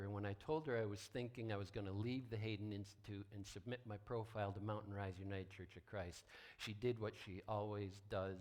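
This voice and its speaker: A man preaching an informal sermon as a pastor, conversational and folksy with wry asides. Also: on the low side. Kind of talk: informal sermon, partly read from notes.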